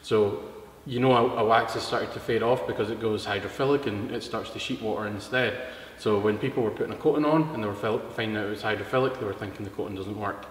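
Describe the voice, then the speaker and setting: A Scottish man in his thirties talks through his work in a relaxed, matter-of-fact way, his voice pitched a little low. He sits in a large indoor car showroom with a lapel mic. The room is roomy and echoing, with a faint hiss underneath. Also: fast, few pauses. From Scotland. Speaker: guest, product distributor. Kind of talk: casual interview. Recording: echoey hall, faint room noise.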